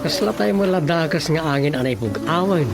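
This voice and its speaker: A woman's voice: low voice, expressive, brisk, creaky. A woman playing a wry, grumbling companion with an Ilocano accent in a radio comedy drama. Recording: music behind the voice.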